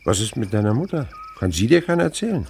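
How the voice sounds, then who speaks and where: A kindly older naturalist in a radio play. The voice is low and gravelly and swings very widely in pitch as it muses and tells stories gently. Crickets chirp faintly behind it. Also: male voice, very animated, fast. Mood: gentle. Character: kindly older French naturalist, widower, indulgent with a boy. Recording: faint background noise, night insects chirping. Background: crickets and animal sounds.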